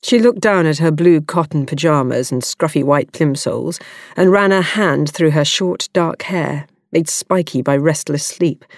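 A woman's voice: deep voice, a little slow, no room sound, clean studio sound. A woman reading a novel aloud as an audiobook, voicing the characters' lines with expression. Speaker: audiobook reader.

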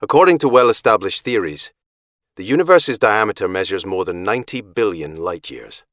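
A man giving a measured, solemn scripted audiobook narration. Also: on the low side, animated, a bit bright, no echo, clean studio sound.

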